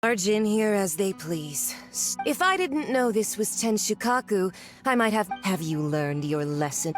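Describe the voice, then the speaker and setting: A woman's voice: mid-range voice, sing-song, measured pace. A woman playing a haughty, imperious figure in a dramatic fantasy video game. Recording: loud music behind the voice.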